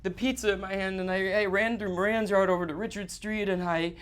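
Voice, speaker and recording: Halting, stammering, guilt-ridden man played by an actor. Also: sits high, fairly even intonation, a bit bright, smooth. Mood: panicked, guilt-stricken. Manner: halting, stammering, repeating himself. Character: Bobbie, distraught guilt-ridden man confessing to a woman. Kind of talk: live theatre monologue acting. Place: theater stage.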